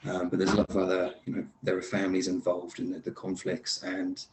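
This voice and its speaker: A man's voice: low voice, monotone, fairly quick, a little mellow. A man being interviewed about his acting work, talking casually with many fillers, restarts and easy laughs. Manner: laughs easily. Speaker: lead actor guest. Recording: echoey room.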